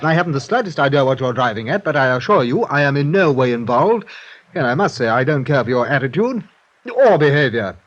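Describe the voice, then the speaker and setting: Actor as a swooping, hearty English gentleman. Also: mid-range voice, very animated, brisk, lightly acted. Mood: indignant, blustering. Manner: hearty, pompous, blustering. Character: breezy, affected English gentleman mountaineer. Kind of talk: 1950s radio drama acting. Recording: muffled, lo-fi old radio recording.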